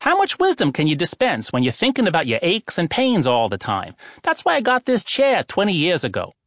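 Guy doing a cranky know-it-all old oracle, pitched up, lectures like he's the smartest one in the room. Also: wildly swooping pitch, fairly quick, a bit bright, no echo, clean studio sound, exaggerated voice. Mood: lecturing. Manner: lofty, exasperated, lecturing. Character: pompous, irritable old male oracle.

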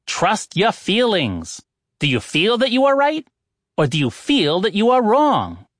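A grandly preachy old oracle, raised in pitch and swooping all over the place as he lectures, put on by a man reading the story. He sounds lofty and easily exasperated, delivered dry and close. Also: huge pitch swings, moderate pace, no echo, heavily acted. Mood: irritated. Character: pompous, irritable old male oracle.

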